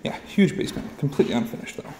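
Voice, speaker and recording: A man's voice: fast talker, dark tone, slight background noise. A man walking through a house with a phone camera, casually pointing out the rooms as he goes. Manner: matter-of-fact, pointing out rooms as he walks. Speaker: house tour guide. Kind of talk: casual walkthrough narration. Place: large unfinished house, indoors. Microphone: handheld phone camera.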